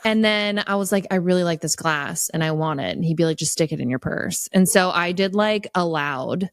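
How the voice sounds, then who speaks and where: A woman's voice: fairly low pitch, normal speed, clear, resonant tone, no room sound, studio quality. A woman podcaster in casual comedic chat, delivering stories and one-liners with a wry touch. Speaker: guest podcaster.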